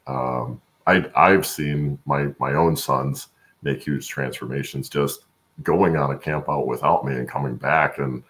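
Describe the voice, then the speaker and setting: A middle-aged man with an extremely low, gravelly voice. He speaks as an interview guest over a video call, in an earnest, halting, conversational way. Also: steady tone, a bit bright. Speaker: guest, supply chain expert and voice-over announcer. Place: room at home, indoors.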